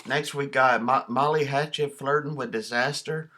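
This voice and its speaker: Casual male vlog host, bright sharp tone, folksy and enthusiastic. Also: mid-range voice, fairly even intonation, moderate pace, a little hiss or hum. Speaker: video host showing a cassette collection. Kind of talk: casual show-and-tell vlog.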